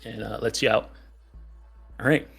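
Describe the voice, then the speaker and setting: A man running a tabletop game as game master, improvising narration and speaking haltingly with frequent pauses. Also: fairly low pitch, steady tone, vocal fry, a bit bright, breathy edge, faint music behind.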